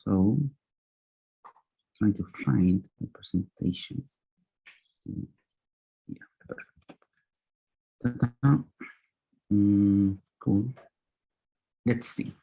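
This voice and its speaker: Husky young Chilean man, halting speech. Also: on the low side, highs cut off. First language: Spanish. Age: young adult. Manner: halting, repetitive phrasing. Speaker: student panelist. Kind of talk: loose, semi-improvised presentation. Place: online video call.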